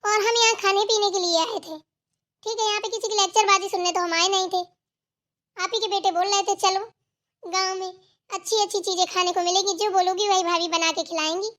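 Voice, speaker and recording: Woman playing a very high-pitched bratty young daughter-in-law. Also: steady tone, brisk, a bit bright. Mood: cheeky. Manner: argumentative, whiny, entitled. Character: spoiled, defiant young city daughter-in-law, demanding and entitled. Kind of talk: cartoon family drama dialogue.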